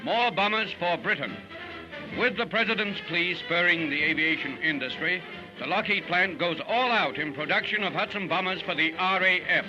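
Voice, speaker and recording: A man's voice: high voice, moderate pace, highs cut off. An American man reading a scripted 1940s newsreel in a dramatic, punchy way over music. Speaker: newsreel narrator. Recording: band-limited 1940s newsreel soundtrack, loud music. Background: loud newsreel music.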